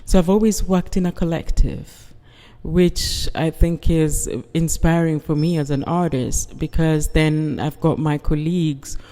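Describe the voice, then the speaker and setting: A woman from Uganda, a guest artist, talking in a calm, measured way and often affirming with "yes". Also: low-pitched. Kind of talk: calm conversational interview. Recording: long hall reverb.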